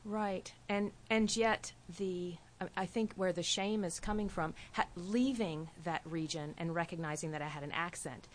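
Low-voiced woman, softened Appalachian accent. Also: moderate pace, hardly any pauses, slight background noise. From Appalachian region of the US. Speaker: writer on a panel. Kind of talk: reflective panel talk.

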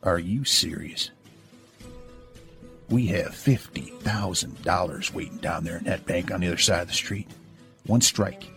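A man's voice: deep voice, measured pace, vocal fry, long pauses, fairly bright tone, soft background music, full character voice. A man voicing a hard-nosed, scheming cowboy bank robber who is bossy and mocking, in a Western story. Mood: mocking, urgent. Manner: bossy, needling.

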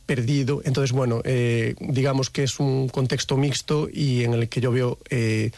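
A man's voice: medium pitch, steady tone, measured pace, a little mellow, dry recording. Young man giving hesitant thoughtful answers. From Galicia, Spain. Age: young adult. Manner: thoughtful, hesitant, many fillers and restarts. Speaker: guest, maker of craft videos. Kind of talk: radio interview answers.

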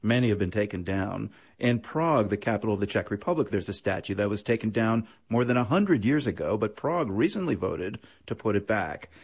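Husky male news presenter. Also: lowish voice, lively intonation. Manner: measured, formal delivery. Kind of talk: scripted news introduction.

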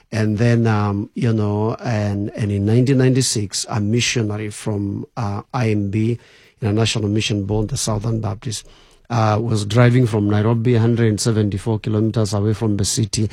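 A man's voice: lowish voice, darkish tone, no echo, studio quality. A man from Kenya, a bishop guest on a radio show, telling his life story with many fillers and restarts.

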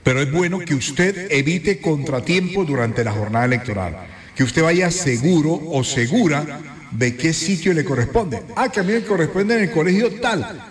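Male morning radio host, chatty and animated, a bit higher voice, echoey studio. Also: moderate pace.

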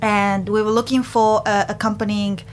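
A woman with a Japanese accent hosting a community radio show in a studio, chatty and quick to laugh. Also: medium pitch, level tone, slight background noise. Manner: chatty, laughs often, switches to rapid Japanese. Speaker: radio host.

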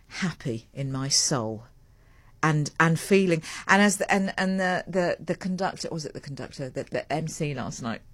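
A woman hosting a morning radio show, talking into a large studio mic. Her voice is very low and husky, in a dry, close sound with faint music underneath. She rambles in a chatty, self-mocking way. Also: dry recording. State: voice lower than usual after a late night. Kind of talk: chatty live radio talk. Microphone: large studio mic with a fluffy cover.

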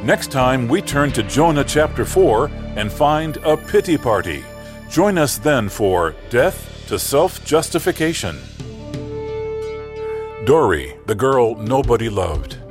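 Male radio announcer, lively inflection, music bed underneath. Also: medium pitch. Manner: measured, smooth announcer delivery. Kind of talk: scripted broadcast announcement.

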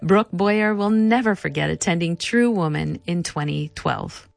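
Female narrator, low and fry-edged, scripted and measured, over a music bed. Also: no room sound. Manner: measured, introducing each speaker. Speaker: program narrator.

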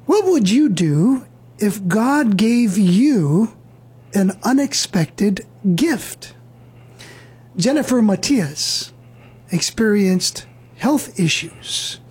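Woman, talk show host, earnest preaching delivery, unhurried, breathy. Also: medium pitch, expressive, a little mellow.

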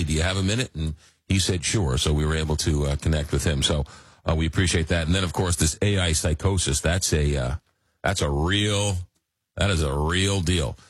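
Deep husky radio host guy, rambly, lots of ums, dry studio sound, just shaking off a cold. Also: dry recording. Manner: rambling, many fillers and restarts. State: just getting over a cold. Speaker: late-night radio talk host. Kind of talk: casual radio talk. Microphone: studio microphone.

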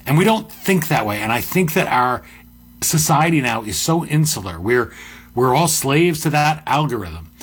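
Middle-aged male host, deep and slightly husky, conversational and musing. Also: fairly bright tone. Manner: conversational, musing, with asides and restarts. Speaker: podcast host. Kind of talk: reflective monologue.

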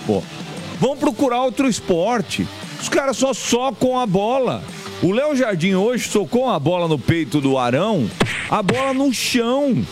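High-pitched Brazilian man, emphatic sports host. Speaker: football radio show host. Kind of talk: opinionated sports commentary and chat. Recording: music bed under broadcast voice. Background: music.

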